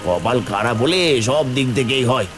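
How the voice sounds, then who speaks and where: A man playing a poor, gentle village father in a cartoon, soothing and resigned. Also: medium pitch, lively intonation, normal speed, music in the background, natural voice. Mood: resigned, weary. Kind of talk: Bengali cartoon voice acting.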